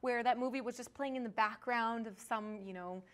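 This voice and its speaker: A woman with a fairly high voice talks as a guest co-host. Her delivery is informal and enthusiastic, in the loose style of a fan having a casual chat. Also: normal speed.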